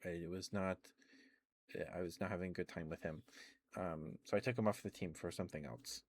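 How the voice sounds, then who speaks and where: A man rambling casually in an ASMR livestream style, halting and restarting his words as he goes, close to the microphone. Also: low voice. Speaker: livestream host.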